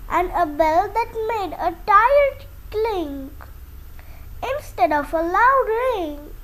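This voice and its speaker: A woman's voice: very high-pitched, a bit bright, rough recording. A young girl reading a children's story aloud, carefully.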